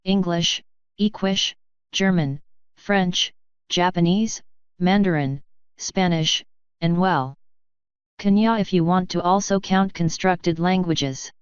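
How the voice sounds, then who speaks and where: A woman's voice: fairly low pitch, slow, long pauses, clean tone, no echo, lo-fi sound. A woman voicing a curious, sarcastic student of magic from another world, blunt and casual, in an audiobook. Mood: baffled.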